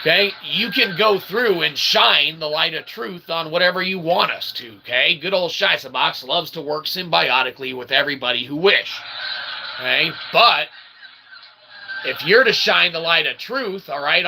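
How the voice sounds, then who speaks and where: A man with a fairly high, gravelly voice rambles informally to his viewers. He talks in wordy, looping, mock-grandiose sentences and keeps punctuating them emphatically with little check-in questions. Clear background noise runs behind him. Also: bright, forceful tone, poor audio. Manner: verbose, repetitive, emphatic, with frequent "okay?" tags; mock-grandiose, convoluted phrasing. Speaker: host of an independent online channel.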